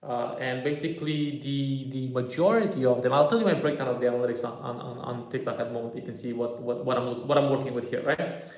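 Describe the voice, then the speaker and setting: A man's voice: mid-range voice, fast talker, a little mellow. A man, a stand-up comedian chatting casually on a livestream and answering viewer comments as he goes. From Romania. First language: Romanian. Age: 30s. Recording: echoey room.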